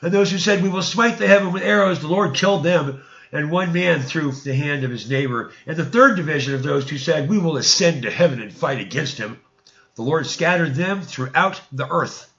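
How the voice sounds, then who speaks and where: A man's voice: fairly high pitch, normal speed, a bit bright. Dull-sounding recording of a man reading scripture. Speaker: narrator reading scripture aloud. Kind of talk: read-aloud narrative of an ancient religious text.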